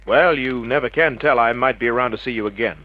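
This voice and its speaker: An actor playing a tough, wisecracking private detective, terse and cocky, narrating in the hardboiled style of an old radio crime drama. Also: male voice, medium pitch, fast talker, natural voice. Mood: wry, matter-of-fact. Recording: dull vintage radio drama recording.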